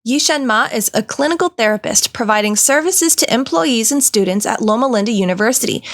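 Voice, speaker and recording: A woman reading a scripted weekly news roundup in an even, polished way. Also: a little high. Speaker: news host.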